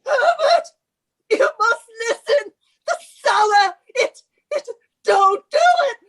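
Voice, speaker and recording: A woman's voice: very high voice, animated, slow, fairly bright tone, exaggerated voice. A woman playing a bossy, haughty British wife in a radio suspense drama, with an imperious, theatrical delivery. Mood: panicked, pleading.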